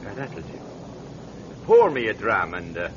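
A guilt-ridden old Irish drunkard, frail and fearful. His halting, theatrical lament swings widely in pitch, in an Irish accent. It is classic radio drama, heard through a dull vintage recording with a faint hum. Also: wildly swooping pitch. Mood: grave, confessional.

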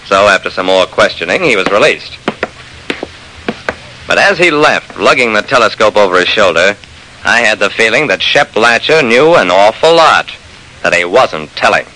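A man's voice: lowish voice, expressive, moderate pace, bright, forceful tone. An actor playing a shrewd, persistent private investigator narrating his case in an old-time radio drama, with clipped, hard-boiled delivery. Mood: measured, matter-of-fact. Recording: noisy vintage radio recording.

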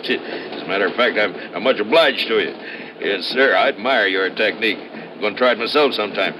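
A man's voice: lowish voice, natural voice. An actor playing a chatty, hapless but good-natured traveling salesman, with a folksy American manner and some stammering, in old-time radio style. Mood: wry, friendly. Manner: folksy, stammering, drawn-out homespun talk. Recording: noisy vintage radio recording.

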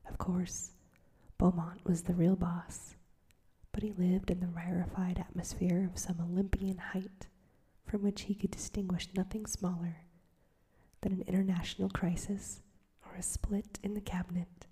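Breathy whispering woman narrator. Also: on the low side, little pitch movement, normal speed. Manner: soft, breathy whisper throughout. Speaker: audiobook narrator. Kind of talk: whispered storybook reading.

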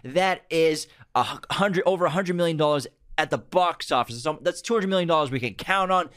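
A man co-hosting a podcast about movies, talking in a lively, animated way, often restarting his sentences and acting out what studio executives might say. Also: on the high side, smooth. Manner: animated, quick restarts and mock-quoting studio bosses. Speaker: podcast co-host. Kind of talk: casual chat.

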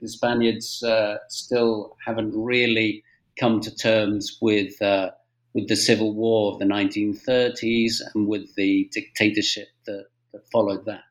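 British male historian, middle-aged, conversational interview guest, measured and hesitant delivery. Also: fairly low pitch, little pitch movement, poor audio. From Britain. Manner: halting, measured, frequent hesitations. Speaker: guest author, historian and journalist.

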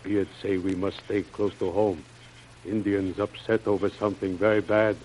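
A man's voice: fairly low pitch, flat delivery, moderate pace, a little mellow, lightly acted. A man playing a humble, loyal native jungle servant in an old radio serial, speaking broken pidgin English and naming himself in the third person. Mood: dutiful, earnest. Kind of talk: old-time radio adventure serial acting. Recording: noisy old radio drama recording.

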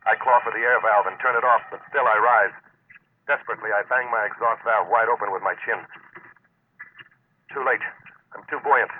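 Man acting a low-voiced, gritty salvage diver. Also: fairly even intonation, brisk, pauses often, close to an everyday voice. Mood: tense, urgent. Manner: terse, intense, hard-boiled delivery. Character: gritty, stubborn deep-sea salvage diver, grimly determined man. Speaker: lead actor and first-person narrator. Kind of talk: old-time radio suspense acting. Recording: noisy, tinny old radio recording.

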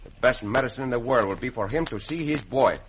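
A man's voice: medium pitch, quick pace, dull audio, over loud music, close to an everyday voice. A man playing a gallant, kindly Mexican cowboy hero in an old radio western, courteous, with a Mexican accent and Spanish words mixed in. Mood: decisive. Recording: faintly noisy old radio recording.

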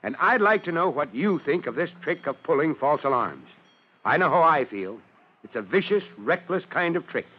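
Earnest, moralizing male fire chief, addressing children; higher register, dulled vintage radio recording. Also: big pitch swings, normal speed, breathy edge. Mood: stern, earnest. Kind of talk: old-time radio scripted address. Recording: muffled old radio recording.

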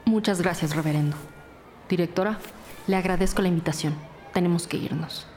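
A woman's voice: fairly low pitch, brisk, a little mellow, a little hiss or hum, natural voice. A woman playing a courteous, businesslike lead detective who probes with questions, in an audio drama. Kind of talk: Spanish-language audio drama acting. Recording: clear background music under voice.

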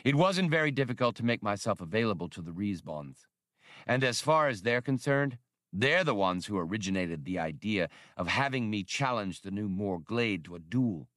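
A man's voice: fairly low pitch, lively intonation, normal speed, a bit bright, dry recording, studio quality. A man voicing a suave, mustached veteran gunman in an audiobook, speaking in a polished, coolly amused manner. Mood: smooth, explaining.

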